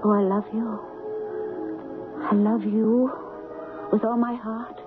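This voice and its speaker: Intense, high-voiced princess, vintage radio. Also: female voice, flat delivery, slow pace. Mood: pleading, loving. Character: devoted anxious young princess, romantic and determined. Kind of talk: melodramatic radio drama acting. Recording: muffled vintage radio broadcast, music behind.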